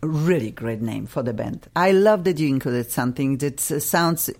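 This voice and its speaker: Woman, polish accent, radio host, low voice, very animated delivery, playful, studio mic. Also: moderate pace. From Poland. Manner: chatty, laughs often, playful. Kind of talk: lively radio chat. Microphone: studio microphone.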